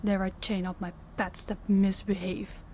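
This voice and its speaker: A woman playing a dominant, stern mafia boss in an audio roleplay, speaking formally and deliberately with authority. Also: medium pitch, little pitch movement, a little mellow, slight background noise, close to an everyday voice. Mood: cold, menacing.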